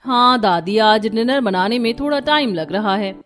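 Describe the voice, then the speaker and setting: A woman playing an overworked, aggrieved young daughter-in-law in a cartoon family drama, speaking in an expressive, complaining way. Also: on the high side, normal speed, close to an everyday voice. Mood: dutiful.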